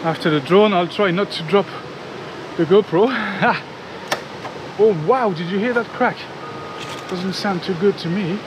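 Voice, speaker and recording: A man in his thirties from France, vlogging casually outdoors on a jungle trail with a handheld action camera, chatty and excitable, sweaty and a little out of breath from climbing. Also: sits high, measured pace, long pauses, noisy background. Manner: chatty and excitable, talks to viewers as guys, swears. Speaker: travel vlogger. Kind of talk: casual vlog commentary. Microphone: handheld action camera at arm's length.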